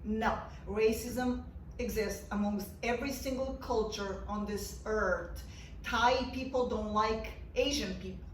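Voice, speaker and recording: A woman's voice: on the high side, a little slow. A woman co-host who speaks emphatically, lecturing and repeating her key points in a panel discussion. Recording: echoey room from far off, faint noise.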